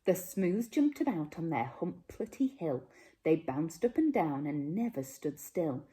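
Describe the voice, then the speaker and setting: A woman narrates a rhyming storybook for young children. Her voice is fairly low and soft-edged, and she takes her time, phrasing each line dramatically with a highly varied melody. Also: big pitch swings, a little slow. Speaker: teacher reading a children's story.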